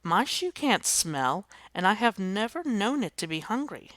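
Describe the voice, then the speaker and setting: A woman voicing a lively, prim schoolteacher who speaks earnestly and a little dramatically. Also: mid-range voice, a little slow, no room sound, voice pushed up. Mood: exasperated. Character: lively, gently indignant female schoolteacher.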